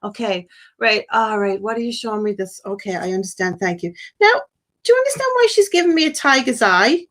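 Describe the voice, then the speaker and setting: A woman's voice: medium pitch, very animated, a little fast, a bit bright. A British woman hosting a live phone-in as a psychic medium, chatting casually through a reading and often checking that the caller understands.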